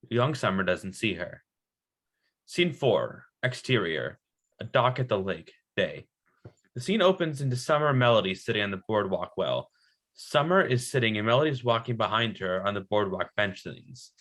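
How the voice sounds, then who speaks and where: A man with a bright, somewhat low voice reads stage directions. He narrates scene headings and action as at a script table read. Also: sing-song, moderate pace.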